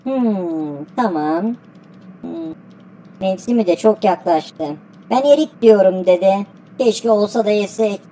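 A woman's voice: medium pitch, animated, slow pace, warm tone, a little hiss or hum. A woman voicing an eager, polite schoolchild answering a grandparent's quiz in an educational cartoon. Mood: thoughtful, polite.

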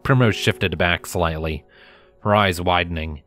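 A male narrator reads a story in a low voice. His delivery is measured and dramatic, with music playing softly behind him.

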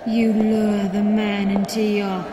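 A woman voicing a jealous, unhinged villainess in a horror game, with venomous, drawn-out, breathy delivery. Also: medium pitch, level tone, noisy background, lightly acted. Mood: bitter jealousy. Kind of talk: dramatic horror game acting.

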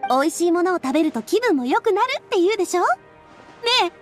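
A woman's voice: very high voice, expressive, fast talker. A woman playing a bold, cheerful, big-sisterly young heroine in a game, breezy and encouraging. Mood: cheery. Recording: music clearly under the voice.

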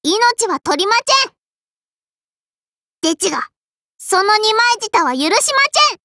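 A little sparrow girl who runs an inn and wields a sword in an anime mobile game. Her voice is extremely high, bright and childlike, with a soft lisp. She speaks politely and primly, strict and dutiful, in a dry, close recording. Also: lively intonation, measured pace, no room sound, full character voice. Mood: fierce, commanding. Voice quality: childlike, lisping. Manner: polite and prim, with a cutesy verbal tic. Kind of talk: Japanese anime mobile game character voice lines.

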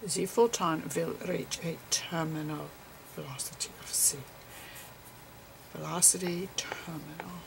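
A woman voicing an eccentric, digressive physicist professor in a whispery, halting ASMR roleplay lecture. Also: low-pitched, fairly even intonation, noisy background. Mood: musing, pedantic. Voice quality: whispery, breathy. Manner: halting, pedantic, rambling.

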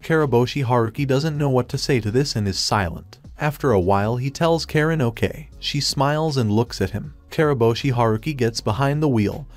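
A man retells a manga chapter in steady third-person narration. His delivery stays even and flat, with stiff, translated-sounding wording. His voice sits at an ordinary middle pitch. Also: fairly quick, few pauses.

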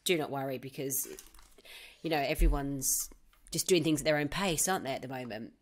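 A woman's voice: very deep voice, brisk. A woman, co-hosting a podcast from home, reading out listener questions and musing on them thoughtfully. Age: 30s. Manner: thoughtful, reads out listener questions and muses with fillers. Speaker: podcast co-host. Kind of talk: casual chat. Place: home, on a video call.

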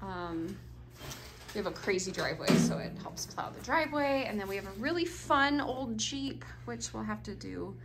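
A woman's voice: medium pitch, expressive, normal speed. A woman with a North American accent chatting casually and playfully as she films a vlog in a garage workshop, holding the camera. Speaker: vlogger filming her partner. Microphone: handheld camera, held by speaker. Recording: clear background noise.

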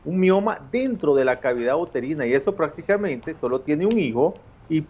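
Casting: fifties Nicaraguan man, doctor explaining, fairly high voice, slightly muffled video-call sound. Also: lively intonation, fairly quick, a little mellow, husky. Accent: Nicaraguan Spanish. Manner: explanatory, with frequent eh fillers and restarts. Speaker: gynecologist guest. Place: home office with bookshelves. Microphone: video call from home.

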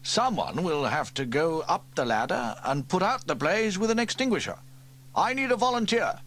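A man's voice: fairly high pitch, lively intonation, moderate pace, fairly bright tone, pitched above the performer's own voice. A man doing a pompous blustering fire chief. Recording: faint tape hiss from old cassette.

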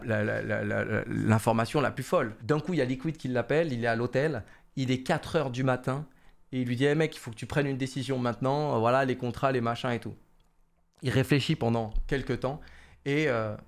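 A man, casually commentating on esports and paraphrasing an interview in an animated, colloquial, repetitive way into a close studio mic. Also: mid-range voice. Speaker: esports commentator and content creator. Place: small home studio room indoors. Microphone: close boom-arm studio microphone.

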